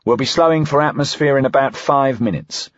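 Man voicing a brash, cheeky deputy, pitched up. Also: brisk, no echo, lo-fi sound, lightly acted. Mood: businesslike. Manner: informal, wry, insistent. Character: brash, loyal male deputy officer, teasing and cheeky. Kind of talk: audiobook character dialogue.

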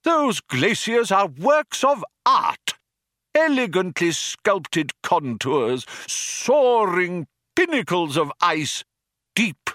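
A man's voice: a little high, fairly bright tone, no room sound, pitched above the performer's own voice. A man voicing a polite, easily wounded old craftsman in an audiobook, hesitant, proud and mournful. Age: older. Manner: hesitant, courteous, indignant. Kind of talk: audiobook character voice.

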